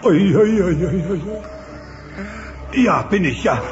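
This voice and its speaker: An actor playing an excitable, cowardly dinosaur dad, whiny, sarcastic and melodramatic, in a comic children's audio drama. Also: male voice, sits high, measured pace. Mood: nervous. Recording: dull cassette sound, music behind.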